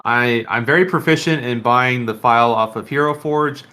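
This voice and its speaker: A man chats casually as a podcast host. His voice is bright and forceful at an average pitch, and he rambles along enthusiastically. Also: moderate pace. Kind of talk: casual chat.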